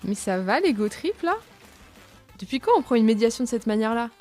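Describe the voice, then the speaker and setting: A caring, frank female robot in an audio drama played by a woman. The voice sits fairly high, conversational and gently probing. Soft music plays faintly underneath. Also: expressive, a little fast, lightly acted. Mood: mildly reproving. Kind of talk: French audio drama dialogue.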